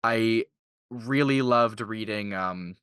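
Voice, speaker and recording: Male interview guest, informal and keen, crisp forward tone, very dry sound. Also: on the low side, takes it slowly, fairly bright tone, no room sound. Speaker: interviewed voice actor. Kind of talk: casual interview chat.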